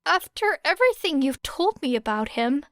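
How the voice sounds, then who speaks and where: Woman putting on a timid, high-pitched teenage boy. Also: sing-song, moderate pace, fairly bright tone, no echo, studio quality. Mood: gentle, pleading. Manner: hesitant, stammering. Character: timid, earnest, stammering teenage boy student.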